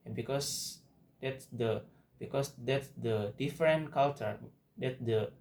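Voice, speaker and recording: A young Indonesian man speaks English at a very unhurried pace, pausing and repeating words as he restarts his sentences. His voice sits at an ordinary pitch for a man, and he talks like a student giving a spoken assignment. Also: darkish tone. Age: young adult. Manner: slow, halting, with frequent restarts and repeated words. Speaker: university student. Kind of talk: spoken class assignment monologue.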